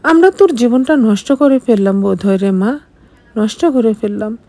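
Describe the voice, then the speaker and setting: A woman's voice: fairly high pitch, expressive, normal speed, warm tone, no room sound. A woman voicing a guilt-stricken older mother in an audio story, lamenting tearfully. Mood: sorrowful.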